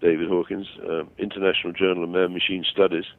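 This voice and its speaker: An older man explaining his views over the phone, self-assured but halting and digressive. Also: sits low, level tone. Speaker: phone-in guest. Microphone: telephone. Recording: tinny narrow-band phone call.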